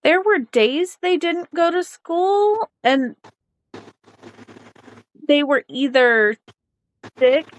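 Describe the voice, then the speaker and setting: A woman on a tense phone call, calm and hesitant, asking probing questions. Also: high voice, very slow, pauses often. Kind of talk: tense personal conversation.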